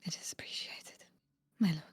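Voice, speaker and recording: A woman voicing a reserved, proud female adventurer in a tabletop fantasy game, speaking formally and deliberately in a hushed tone. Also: dry recording, in a whisper, clean studio sound, lightly acted. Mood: grudgingly respectful.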